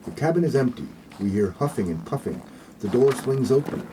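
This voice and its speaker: A man's voice: medium pitch, animated, a little fast, background noise. A man reading scripted narration and stage directions for a radio play.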